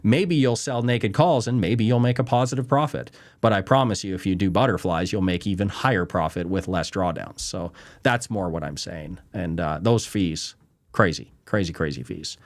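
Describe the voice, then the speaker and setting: A man's voice: deep voice, moderate pace. A man from Canada hosting a trading show, talking casually and emphatically and often asking "right?" and "you know". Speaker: trading show host answering viewer questions. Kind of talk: casual unscripted explainer.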